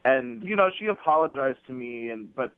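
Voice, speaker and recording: A man's voice: mid-range voice, animated, moderate pace, no echo. A man speaks over a narrow, dull telephone line with no room sound. He talks casually, awkward and hesitant, with a sardonic edge. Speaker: phone-in guest. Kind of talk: casual conversation. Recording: dull, band-limited phone line.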